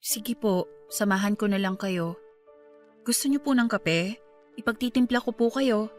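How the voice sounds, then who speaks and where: A young female voice of medium pitch with music behind it, an actress playing a grieving student niece. She speaks politely and with care to an elder, in natural radio drama style. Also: a little slow, natural voice. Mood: gentle concern. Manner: polite, deferential to her elder. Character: bereaved young niece, a student, respectful and caring. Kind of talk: Tagalog radio drama acting, naturalistic dialogue. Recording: music bed under the voice. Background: background music.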